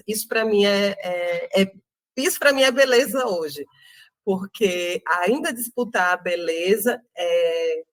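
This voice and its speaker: A woman with a Northeastern Brazilian accent, an interview guest speaking thoughtfully with many pauses and tag questions. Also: mid-range voice, dry recording. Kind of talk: reflective interview talk.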